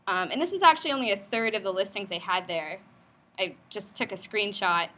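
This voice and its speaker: A woman's voice: mid-range voice, brisk, sharp tone, poor audio. A woman presenting at a meetup in an office, talking in a friendly, upbeat, casual way with frequent fillers and asking the audience questions. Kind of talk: conversational tech talk. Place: company office meetup room.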